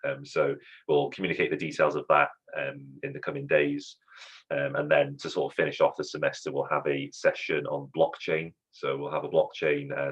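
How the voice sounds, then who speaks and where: A man with an extremely deep, husky, flat voice hosts an online student event, halting often with ums and restarts. The sound comes through a dull, lo-fi call. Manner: hesitant, many ums and restarts, friendly. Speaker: student society host. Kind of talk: hosting an online society event.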